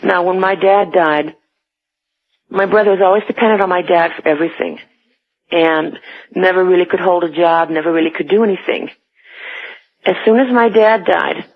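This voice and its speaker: Woman caller on a narrow phone line. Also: lowish voice. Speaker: radio show caller. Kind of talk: personal ghost story told conversationally. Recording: tinny, narrow telephone line.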